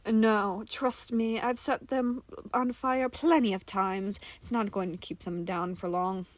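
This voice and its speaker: Gruff violent man played by a woman, growling, irritable, dry, grumbling, close dry recording, audio story. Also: mid-range voice, fairly even intonation, a little slow, dry recording, natural voice. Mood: impatient.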